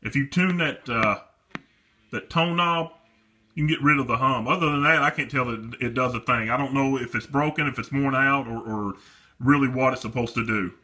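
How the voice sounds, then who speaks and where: A man's voice: medium pitch, moderate pace, sharp tone. A man giving a casual, plain-spoken and somewhat hesitant demonstration of a product. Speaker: presenter demonstrating a radio for sale.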